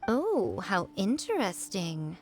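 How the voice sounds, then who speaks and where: Elegant fox-spirit priestess for a game: mid-range voice, playful, nonchalant, smug, soft music underneath. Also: female voice, medium pitch, wildly swooping pitch, takes it slowly. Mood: amused. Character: elegant, teasing, aloof fox-spirit shrine maiden, adult woman. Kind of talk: video game character voice acting. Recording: faint game music behind.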